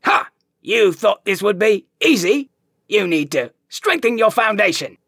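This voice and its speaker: A man voicing a mocking older kung fu master with a New Zealand accent, gruff and taunting. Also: sits high, sing-song, a little slow, a bit bright, no echo, clean studio sound, pitched above the performer's own voice, heavily acted.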